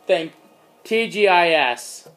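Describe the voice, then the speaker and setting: A teenage boy casually commenting on his gameplay, yelping when he gets hurt, filmed by a phone in a dim room. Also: sits high. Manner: excitable, yelps when in danger. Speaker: let's play host. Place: dim room in front of a screen.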